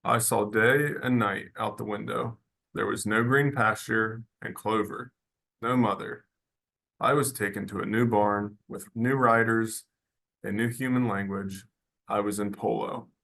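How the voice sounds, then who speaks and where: Brief: man reading as a plain-spoken horse for a radio drama, low and gravelly, halting simple lines. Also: fairly even intonation, a bit bright. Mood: earnest. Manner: slow, halting, childlike short sentences. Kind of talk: radio drama table read.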